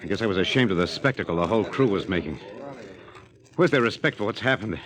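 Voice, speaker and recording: A man's voice: fairly low pitch, lively intonation, quick pace, fairly bright tone. An actor playing an intense, idealistic, indignant spaceman in a 1950s radio drama, speaking with eloquent anguish. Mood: bitter, brooding. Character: idealistic, anguished spaceman mourning a dead Martian civilization. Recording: noisy old radio recording.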